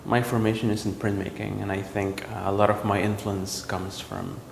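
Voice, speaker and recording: Low gravelly man in an echoey gallery. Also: level tone, slight background noise. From El Salvador. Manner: reflective, halting, with frequent ums and restarts. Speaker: visual artist. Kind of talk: talking about his artwork. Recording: roomy gallery echo with long reverb.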